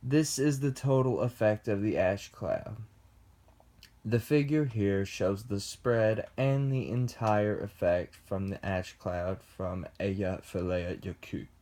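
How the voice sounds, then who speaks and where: A young man giving a class presentation, reading slowly and deliberately with pauses. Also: fairly low pitch, warm tone. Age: young adult. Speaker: student presenter. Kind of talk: class presentation read from script.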